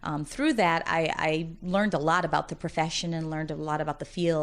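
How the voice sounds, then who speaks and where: A low-pitched woman in her forties talks with easy, upbeat energy. She chats like a college dean at ease in an interview, telling stories at length and often laughing as she talks. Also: steady tone, a little fast. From United States, Massachusetts. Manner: talkative, upbeat storyteller who often laughs while talking. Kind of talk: casual interview conversation.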